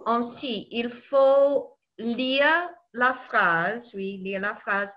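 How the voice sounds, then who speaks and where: A woman's voice: on the high side, drawn-out words. A woman teaching a French class over a video call, giving patient instructions and repeating her words. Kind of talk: online language class instruction. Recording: dull lo-fi video call audio.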